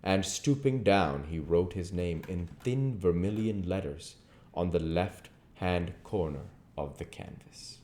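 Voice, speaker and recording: A man's voice: deep voice, animated, slow pace, darkish tone, a little hiss or hum. A man reading aloud as a storyteller and channel host, narrating in prose with the odd stumble. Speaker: audiobook reader and channel host. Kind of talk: novel narration, read aloud.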